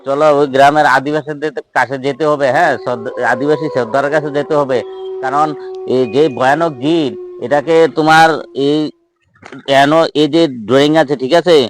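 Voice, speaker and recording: A man's voice: fairly high pitch, steady tone, normal speed, faint music behind, lightly acted. A man playing an earnest, reassuring villager in an improvised comedy skit, repeating himself and constantly checking for agreement. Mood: instructing. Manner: repetitive, constantly seeking agreement. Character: earnest villager guide organizing a jinn hunt, reassuring.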